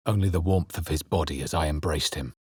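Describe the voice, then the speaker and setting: An actor playing a wry, guarded male adventurer in a fantasy game, speaking and narrating in a measured, dramatic, crisply enunciated way. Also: rumbling low, no echo, clean studio sound, full character voice. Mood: solemn narration. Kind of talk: scripted game voice lines and narration.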